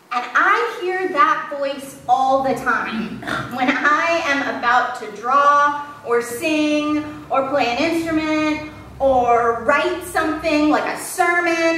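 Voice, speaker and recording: A woman's voice: high voice, sharp tone, slight background noise. A woman pastor giving a sermon in a church, talking in a candid, self-deprecating storytelling way. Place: church sanctuary. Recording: very echoey church from a distance.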